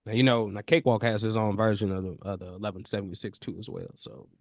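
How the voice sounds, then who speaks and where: A man with a nasal, somewhat low voice guides a student through audio mixing on a video call. He talks in an easygoing, encouraging way, often repeating words. The sound is dry and close, with no room echo. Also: level tone, fast talker. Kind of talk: casual one-on-one tutoring on a video call.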